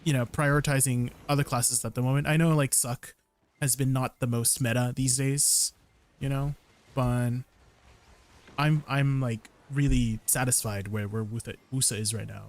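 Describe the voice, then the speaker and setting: A man's voice: mid-range voice, steady tone, normal speed. A young man casually commenting on his gameplay during a livestream, explaining combos and answering viewers, often ending sentences with "right?". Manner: chatty, answers viewers' questions. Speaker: game streamer.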